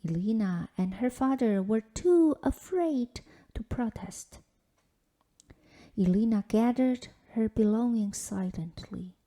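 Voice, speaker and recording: A woman's voice: on the high side, expressive, takes it slowly, mellow. A woman reading a children's folktale aloud as scripted storybook narration. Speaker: storybook reader.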